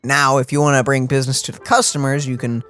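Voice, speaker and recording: A man's voice: mid-range voice, level tone, fast, a bit bright, smooth, soft background music. A man doing casual let's-play reaction commentary, with a wry, teasing manner. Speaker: gaming channel streamer.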